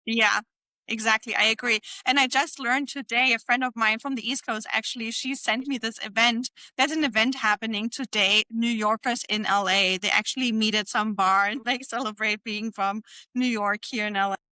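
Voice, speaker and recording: Woman host, German accent, bright voice recorded dry and close, gushing, slangy, sweary casual chat. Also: on the high side, fairly even intonation, normal speed, clear, resonant tone, no room sound, low-quality recording. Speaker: podcast host.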